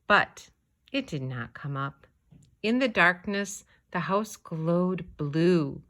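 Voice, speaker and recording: Woman, children's read-aloud narrator, bright tone, very unhurried pace, measured and expressive. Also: fairly low pitch. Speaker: storybook reader.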